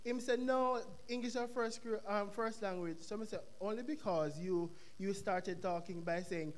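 A young man with a Jamaican accent asking a question from the floor of a lecture hall, telling his story in an animated way. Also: high-pitched, moderate pace. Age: young adult. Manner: animated storytelling, quoting others. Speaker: audience member asking a question. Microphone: stand microphone in audience.

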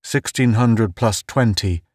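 Man as weary, sardonic lone spaceman, slow. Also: medium pitch, expressive, a little mellow, no echo, studio quality, close to an everyday voice. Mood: dull. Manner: dull, muttering, sardonic. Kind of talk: audiobook character voice.

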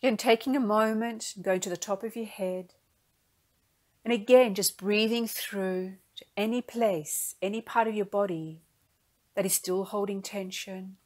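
A woman guides a meditation at a very slow, unhurried pace. Her mid-pitched voice is gentle and soothing, letting each soft phrase settle before the next. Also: fairly even intonation.

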